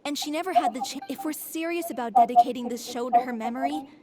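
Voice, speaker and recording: A woman's voice: high voice, quick pace, a crowd in the background, close to an everyday voice. A woman voicing a frank, caring young theatre troupe member with an earnest manner in video game dialogue. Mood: troubled. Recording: loud background music.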